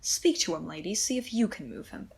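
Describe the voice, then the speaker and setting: A stern, imperious Duke of Shakespearean radio drama, acted by a woman. The voice is low, creaky and husky, giving curt commands like a ruler. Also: lively intonation, fairly quick, exaggerated voice. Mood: brisk, commanding. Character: stern, imperious usurping male Duke, a father. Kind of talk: Shakespearean radio play acting.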